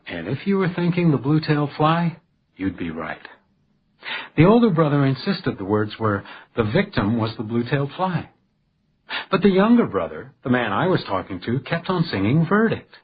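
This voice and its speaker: An actor playing a polite but stubborn hotel detective in a radio crime drama, with a dry, storytelling way of talking. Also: male voice, medium pitch, very animated, moderate pace, highs cut off, a little hiss or hum, lightly acted. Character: hotel house detective, male, deferential but stubbornly needling.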